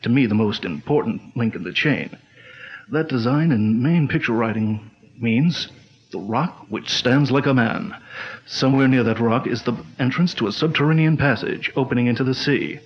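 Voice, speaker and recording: A calm detective chief who lays out clues in a steady, commanding voice, played by a man. The voice sits at an ordinary mid pitch. The sound is dull and lo-fi, like an old radio recording. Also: moderate pace, lightly acted. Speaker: lead detective. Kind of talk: 1940s radio drama acting.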